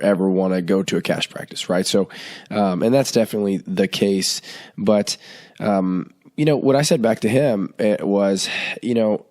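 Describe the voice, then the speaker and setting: A man's voice: on the low side, a little mellow. Chatty man with a head cold. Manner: chatty, loose, many fillers and restarts. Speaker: podcast host. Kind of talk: casual podcast talk.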